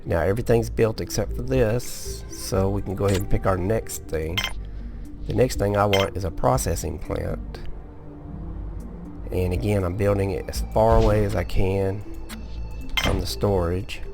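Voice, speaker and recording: A man hosting a casual gameplay video, sleepy and relaxed, explaining each step as he goes with small restarts. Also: fairly low pitch, normal speed, vocal fry, music in the background. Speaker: gaming video host. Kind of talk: casual let's-play commentary.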